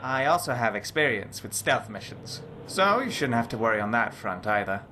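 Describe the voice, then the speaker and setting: A man voicing a composed, scholarly lizard-folk lawman and spellcaster in a game, speaking formally and in measured, articulate phrases. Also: medium pitch, very animated, pushed and bright, slight background noise. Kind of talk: scripted game character dialogue.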